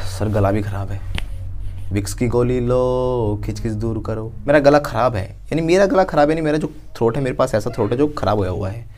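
A man's voice: medium pitch, moderate pace, darkish tone, slight background noise. A man in his thirties teaching a spoken English lesson, acting out little everyday scenes as he goes. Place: dark studio.

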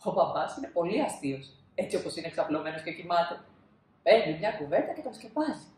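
Woman reading kids story, very lively intonation, dramatic pauses, roomy echo. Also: on the low side, a little hiss or hum. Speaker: storybook reader. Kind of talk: expressive children's storybook narration. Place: small indoor room, seated.

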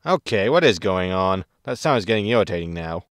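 A roguish spaceship captain from a sci-fi audio comedy, played by a man, gruff and easily irked. He talks in a lowish voice, curt and wry, with a very dry recording. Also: animated, a little fast, dry recording.

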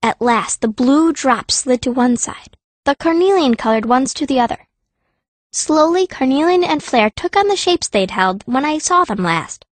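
A woman reads a fantasy audiobook in a high voice. Her delivery is expressive and dramatic, telling the story in the first person. The sound is dry and close. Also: normal speed, no room sound. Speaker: audiobook narrator, playing the young heroine.

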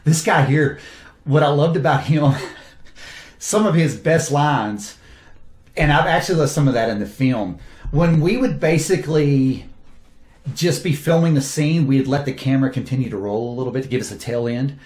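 A man, a film director, talks through his cast with eager, animated enthusiasm. His voice sits at a middle pitch and carries a roomy echo. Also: moderate pace. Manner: enthusiastic, animated storytelling with quoted asides. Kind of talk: casual interview talk.

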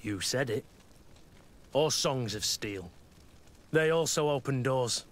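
An actor playing a sly, mercenary guard in a video game. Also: male voice, mid-range voice, lively intonation, slow pace, slight background noise. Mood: sly, taunting.

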